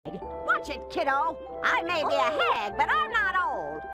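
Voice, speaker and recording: Bossy hag, high lively voice, sly and snappish, cartoon fandub, loud music underneath. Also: female voice, a little slow, heavily acted. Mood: irritated. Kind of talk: cartoon game fandub acting. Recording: loud children's music behind.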